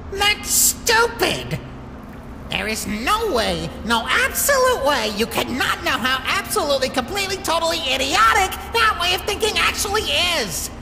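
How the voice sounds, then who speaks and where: A woman's voice: sits high, pushed and bright, in a large hall, heavily acted. A woman voicing an irritable, sarcastic talking flower in a dramatic comic dub. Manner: sarcastic, exasperated. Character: irritable, scornful talking flower, sharp but secretly worried.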